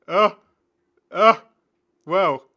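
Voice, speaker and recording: A man's voice: sits high, extremely slow, bright, forceful tone. A man presenting a gaming video in rambling, casual commentary, chatty and enthusiastic as he goes off on tangents.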